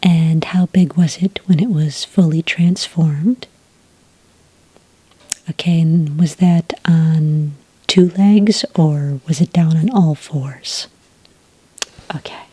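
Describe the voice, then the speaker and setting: A woman speaking in a whispery, breathy soft voice, calm and methodical, as an agent in an ASMR roleplay, close to the microphone. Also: sits low, no room sound, low-quality recording. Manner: calm, methodical questioning with gentle pauses. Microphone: close microphone in a dry room.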